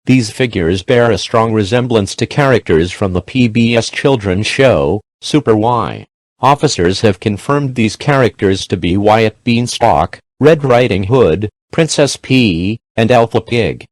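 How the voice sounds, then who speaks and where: A man's voice: fairly low pitch, expressive, measured pace, dry recording. A man reading a scripted emergency broadcast as an alert announcer.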